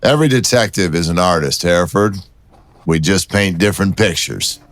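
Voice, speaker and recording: A man playing a wry, low-voiced detective. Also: animated, moderate pace, dry recording. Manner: dry, teasing banter. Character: wry, persistent male city detective.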